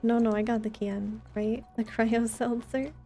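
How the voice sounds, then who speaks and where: A woman's voice: fairly high pitch, fairly even intonation, unhurried, warm tone, faint music behind. A woman chatting casually with her viewers while livestreaming a video game, in a chatty, giggly manner.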